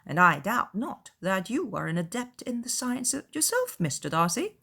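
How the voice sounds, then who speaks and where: A woman voicing a gushing, courtly older gentleman in an audiobook dramatization. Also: medium pitch, big pitch swings, normal speed, husky, pitched above the performer's own voice, full character voice. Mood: effusive, courtly. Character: effusive, gallant older gentleman, Sir William.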